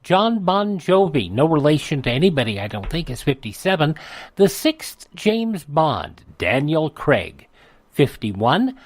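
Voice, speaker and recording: A man's voice: a little high, sing-song, no echo. American man, radio host, almanac reading, chatty asides, dry close mic. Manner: chatty asides, drawn-out names. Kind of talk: scripted radio almanac narration. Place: radio studio.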